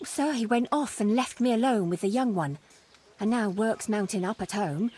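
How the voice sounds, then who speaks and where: A woman plays a poor medieval peasant mother in a game cutscene. Her voice is slightly rough at a middling pitch. She pleads in a folksy, deferential way, quick to take offence. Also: warm tone, lightly acted. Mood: pleading. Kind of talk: video game cutscene acting.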